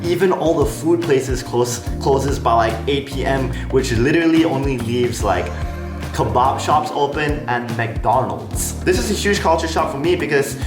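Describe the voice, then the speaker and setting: A man's voice: medium pitch, animated, normal speed. Young malaysian guy, bit of an american accent, chatty vlog talk, echoey room with music going. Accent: slight American. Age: young adult. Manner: chatty, frank, lots of "like" and "honestly". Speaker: vlogger, international student. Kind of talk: casual talk to camera. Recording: roomy echo with music behind.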